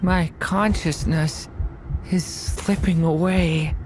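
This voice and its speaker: A woman voicing a fragile, lost young boy in a game, speaking haltingly and plaintively. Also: fairly low pitch, fairly even intonation, music in the background. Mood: weak, confused. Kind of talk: dramatic game voice acting.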